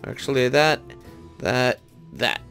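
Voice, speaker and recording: A man's voice: medium pitch, expressive, slow, creaky, a bit bright, faint music behind. A man giving casual gameplay commentary, thinking aloud and tentatively talking through each step as he goes. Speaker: gaming video host.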